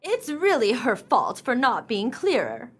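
Woman acting a high-voiced, disapproving society lady. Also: very animated, unhurried, slightly rough, full character voice. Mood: fussy. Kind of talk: radio play acting.

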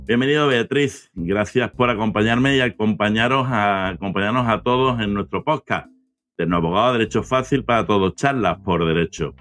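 A man's voice: on the low side, normal speed, fairly bright tone, soft background music. A man hosting an interview podcast in a conversational way, introducing and questioning a guest.

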